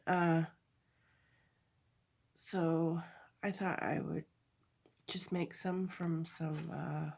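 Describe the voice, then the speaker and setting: A woman with a stuffy nose from a cold, chatting through a craft tutorial at her table, halting often and correcting herself. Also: lowish voice. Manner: halting, chatty, many fillers and self-corrections. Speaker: crafter demonstrating a project.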